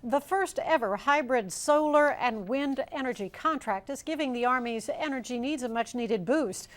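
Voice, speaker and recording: A woman's voice: sits high, moderate pace. A woman with an American accent reading scripted news as a television anchor.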